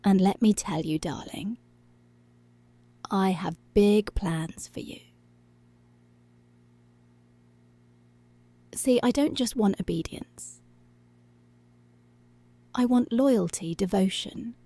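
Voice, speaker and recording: A woman playing a dominant, seductive policewoman in an intimate ASMR roleplay, breathy and deliberate, often dropping to a whisper. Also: medium pitch, dark tone, dry recording. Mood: possessive, seductive. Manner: slow, deliberate, commanding.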